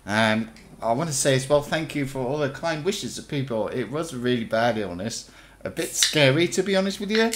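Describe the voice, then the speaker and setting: Croaky chatty man narrating gameplay. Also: on the high side, fairly bright tone, slight background noise. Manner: rambling, chatty, thinking aloud with restarts. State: croaky from illness. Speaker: let's play host. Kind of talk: casual gameplay commentary.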